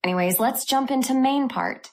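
A woman host narrating a scripted software review for a tech channel. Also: high voice, normal speed.

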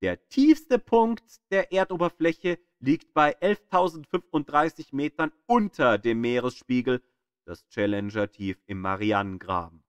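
Man, scripted educational narration, emphatic storyteller, unhurried pace, dry close sound. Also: medium pitch, smooth, no room sound. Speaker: narrator of an audio guide to the solar system. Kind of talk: scripted educational narration in a playful tour-guide tone.

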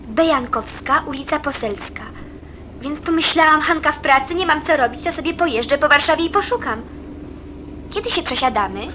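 An actress playing an eager, chatty, warm-hearted young woman in a radio play, lively and girlish. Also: high-pitched, slight background noise, poor audio.

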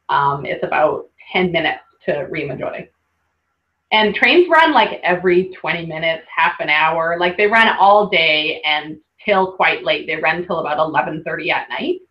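A bubbly woman talks through a slideshow in a loose, chatty way, sprinkling in lots of "like" and quick laughs. Her voice sits a little low. The web call audio is dull and lo-fi. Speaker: workshop host presenting slides. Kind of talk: casual webinar presentation.

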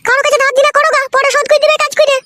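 A woman voicing a bossy, fussing, sharp-tongued young village wife in a comedy cartoon, with a rural West Bengal accent. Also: extremely high pitch, level tone, racing, very noisy. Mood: scolding. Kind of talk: comic cartoon dialogue.

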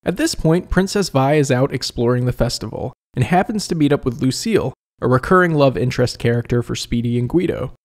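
Male review host, conversational scripted narration, wry, slightly gravelly. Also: mid-range voice, animated, a little fast, hardly any pauses, a little mellow.

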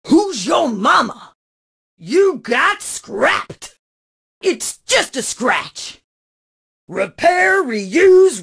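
An actress voicing a tough, motherly female scrapyard mechanic, barking brash, bossy one-liners, often shouted, as video game voice lines. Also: extremely high pitch, gravelly, exaggerated voice.

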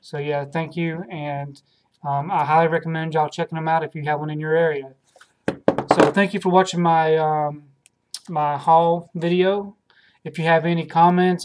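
A man's voice: on the high side, level tone, normal speed, noisy background. A man in his thirties casually showing off used film purchases at home, hesitant, with many ums and restarts, into a desk webcam. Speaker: video host, collector. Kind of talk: casual haul video. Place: home room with shelves of films.

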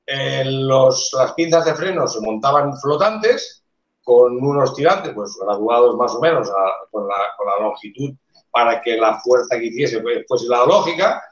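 A man on a video call explaining motorcycle engineering in a live debate, with many pauses and restarts. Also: mid-range voice, lively intonation. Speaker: engineer panelist. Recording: roomy, dull video call audio.

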